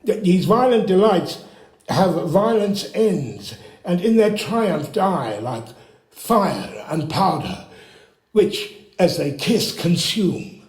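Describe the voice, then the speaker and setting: A man playing a grave, fatherly friar who gives measured cautions in verse, in a Shakespeare audio drama. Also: sits high, sing-song, a little mellow, heavily acted. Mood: solemn warning. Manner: solemn, deliberate, measured verse delivery. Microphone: phone, recorded at home. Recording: echoey room, home phone recording.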